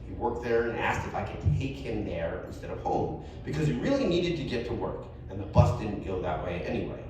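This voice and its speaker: A man reading a story aloud at a live event in an animated, conversational comic way. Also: fairly low pitch, brisk. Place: live event venue, roomy indoor space. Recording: echoey room, distant mic, background noise.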